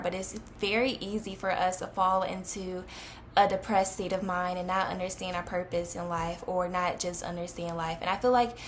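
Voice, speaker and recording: Young woman, twenty, casual vlog chatter, filler-heavy, mid pitch, faint hiss behind. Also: a bit bright. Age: 20s. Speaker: vlogger. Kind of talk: casual talk to camera.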